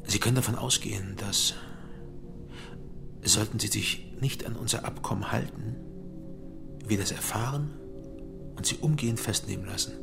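Stern official, creaky lowish voice, formal, measured menace, radio crime drama. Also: male voice, music in the background. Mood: coldly threatening. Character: stern, threatening male official silencing an informer.

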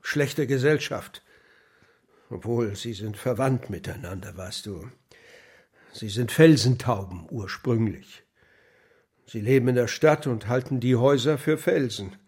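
Taubenzüchter mit heiserer Stimme. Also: male voice, medium pitch, measured pace, a little mellow, husky. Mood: calm, wistful. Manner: plain, explanatory. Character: reflective male pigeon keeper explaining his birds. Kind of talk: children's radio play acting.

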